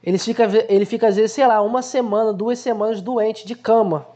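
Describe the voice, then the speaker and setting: A man's voice: high voice, steady tone, fairly quick, mellow. A man hosting a casual film analysis, explaining ideas conversationally and acting out everyday examples.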